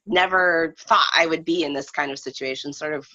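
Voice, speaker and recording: A woman telling a personal story in casual conversation, speaking in a halting, reflective way with trailing phrases. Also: sits low, sharp tone. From United States, lives in New England. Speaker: guest storyteller. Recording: dull, roomy lo-fi recording.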